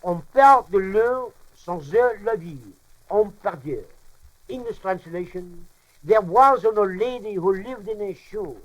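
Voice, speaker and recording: A man's voice: high voice, animated, a little slow, muffled recording. A man playing a pompous, bumbling comic French professor in a radio monologue, lecturing in muddled, mock-formal language and mangled words. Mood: grand farewell.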